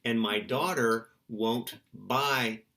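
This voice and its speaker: A man's voice: medium pitch, extremely slow, vocal fry, pushed and bright. A middle-aged man, a children's book author, giving casual, playful interview answers in simple terms for young listeners.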